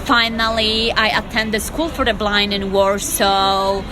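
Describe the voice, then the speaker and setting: A woman's voice: medium pitch, level tone, slow, music in the background, rough recording. A young woman from Poland with a Polish accent, telling her personal story as an interview guest in short, emphatic sentences. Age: young adult.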